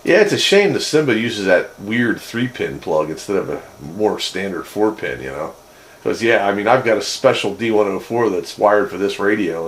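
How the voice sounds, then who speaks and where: Male hobbyist, lowish voice, relaxed technical chat, faint noise behind him. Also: rough recording. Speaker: radio hobbyist. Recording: steady background noise.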